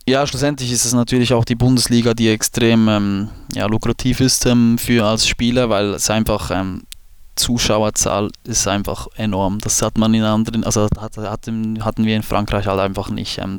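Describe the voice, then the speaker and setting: A man in his late twenties from Switzerland with a Swiss German accent, a guest talking casually in a podcast interview and pausing often with fillers and restarts. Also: medium pitch, clear, resonant tone, no room sound. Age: 20s. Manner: hesitant, many fillers and restarts. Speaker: football goalkeeper guest.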